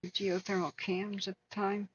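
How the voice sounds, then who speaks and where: A woman hosting a wildfire tracking livestream, hoarse from hours of talking, speaking earnestly and answering viewers by name. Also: mid-range voice, flat delivery, normal speed, dull audio. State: hoarse, losing her voice. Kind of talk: casual livestream chat.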